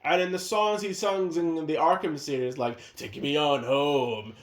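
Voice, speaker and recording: A man's voice: fairly high pitch, fairly even intonation, unhurried. A man hosting a casual chat that ranks movies, rambling and opinionated, with frequent restarts. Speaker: video host. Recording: echoey room sound.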